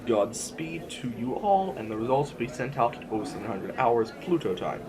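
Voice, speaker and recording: A man playing a stern, formal sovereign who presides over an assembly in measured oratory, in an audio drama. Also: medium pitch, big pitch swings, crowd noise, close to an everyday voice. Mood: formal, composed.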